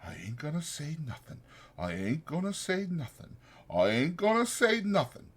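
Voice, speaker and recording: A man's voice: on the high side, wildly swooping pitch, normal speed, husky, voice pushed up, exaggerated voice. A man voicing a simple-minded, eager, forgetful working man, hesitant, repetitive and naive, in a dramatized audiobook. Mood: slow, concentrating.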